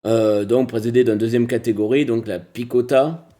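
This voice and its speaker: A man's voice: medium pitch, fairly even intonation, moderate pace, mellow. A man co-hosting a cycling podcast, talking casually with many hesitations and restarts.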